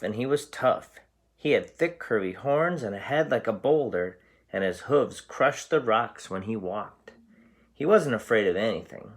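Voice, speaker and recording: Lowish-voiced man reading to young children. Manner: clear, simple explanations for young children. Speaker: teacher reading aloud to pupils. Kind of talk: children's storybook read-aloud.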